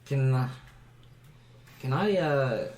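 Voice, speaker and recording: A man's voice: mid-range voice, lively intonation, extremely slow, darkish tone, slight background noise. A man playing a tabletop game, hesitant with many ums and uhs in casual chat. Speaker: player.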